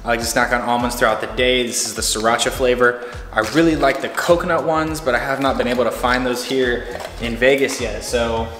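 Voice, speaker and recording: A man's voice: mid-range voice, brisk, a bit bright. An American man in his thirties chatting casually to a camera held at arm's length in his home kitchen, as a fitness vlogger. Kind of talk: casual vlog talk to camera. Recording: echoey kitchen with music underneath. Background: background music.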